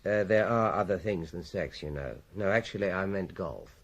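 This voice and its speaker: An actor playing a British police inspector in a radio drama, questioning people in an understated, dryly witty way. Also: male voice, low voice, moderate pace, muffled recording. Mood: dry, probing. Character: dry, probing male police inspector on a murder case.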